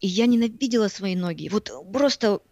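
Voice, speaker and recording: A woman's voice: medium pitch, brisk, dry recording. A woman from Siberia in her thirties, a podcast guest chatting casually and animatedly, laughing often and acting out what others said. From Siberia, Russia. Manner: animated, laughs often, acts out quoted voices. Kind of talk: casual interview chat.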